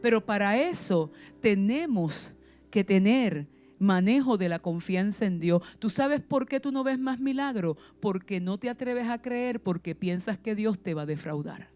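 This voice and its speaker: Puerto Rican woman preaching, mellow voice. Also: mid-range voice, expressive, unhurried, faint music behind. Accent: Puerto Rican Spanish. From Puerto Rico. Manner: passionate preaching with rhetorical questions and sudden emphasis. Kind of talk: sermon.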